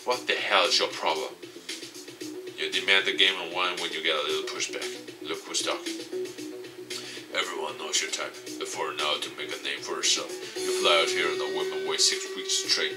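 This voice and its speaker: A man's voice: extremely low pitch, sing-song, a little fast, distant mic, loud music behind the voice, voice pushed down. A man voicing a smug rival pro gamer in a visual novel, condescending and cutting. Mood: scornful.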